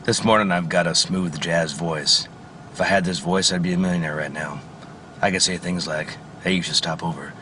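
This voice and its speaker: A man putting on a slow, silky voice as a laid-back smooth jazz radio DJ. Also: steady tone, pushed and bright, a little hiss or hum. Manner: slow, silky, low crooning.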